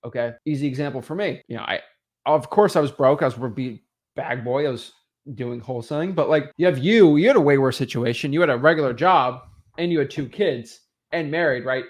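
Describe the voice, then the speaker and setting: A man's voice: mid-range voice, a little fast. A young man hosting a real estate webinar, giving an emphatic motivational talk and mocking excuses. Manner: animated, emphatic, acts out excuses in mocking voices.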